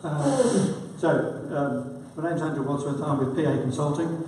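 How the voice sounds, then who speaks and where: A man with a cold-roughened, croaky voice gives a conference talk in a casual, halting way. His voice sits a little high, and it is captured from a distance in a large hall full of reverb, with faint noise underneath. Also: steady tone, a little mellow. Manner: informal, halting with fillers. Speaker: conference speaker, consultant. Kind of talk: conference presentation. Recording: echoey hall, distant mic.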